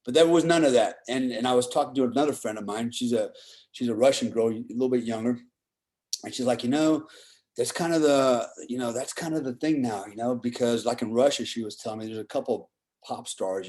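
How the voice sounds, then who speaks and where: Middle-aged male, medium pitch, blunt opinionated conversational storyteller, salty language, frequent check-ins with the listener. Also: darkish tone. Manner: often seeks agreement with "right?" and "you know".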